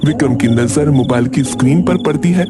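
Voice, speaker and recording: A man narrating a dramatic cartoon story in a steady way, with background music. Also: on the high side, brisk, background noise.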